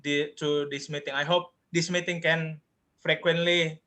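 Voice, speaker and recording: A man's voice: on the high side, little pitch movement, moderate pace, pushed and bright. A man speaking politely and informally as a participant in a group meeting.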